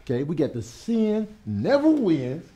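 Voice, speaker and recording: A slick American pastor, defensive and streetwise in a comedy court show. His high voice swings around emphatically as he argues. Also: huge pitch swings, unhurried, warm tone, muffled recording. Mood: evasive. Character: slick, cocky, defensive male church pastor. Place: courtroom, standing.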